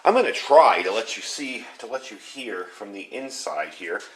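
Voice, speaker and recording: A man's voice: on the low side, lively intonation, moderate pace, poor audio. A man giving a casual hands-on gear review indoors, explaining things in a rambling, informal way. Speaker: product reviewer. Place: indoors at home.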